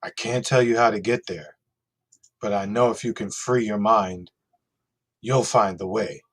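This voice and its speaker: A man playing a calm, resolute hacker hero in a radio play reading, speaking in a measured, earnest way with a husky voice. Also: lowish voice. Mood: earnest conviction. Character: calm, resolute freed hacker hero giving a defiant message. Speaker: podcast host.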